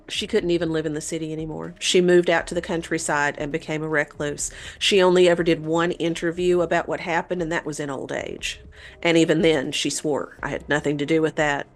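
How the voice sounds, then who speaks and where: A woman co-hosting a talk show, telling a story in a conversational way. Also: sits low. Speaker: podcast host telling a paranormal story.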